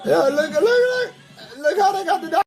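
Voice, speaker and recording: A man on a livestream clip chanting and singing the same phrases over and over in shouts. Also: extremely high pitch, expressive, normal speed. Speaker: streamer in a played clip. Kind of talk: shouted, sung livestream antics. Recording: livestream clip with loud music. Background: loud music.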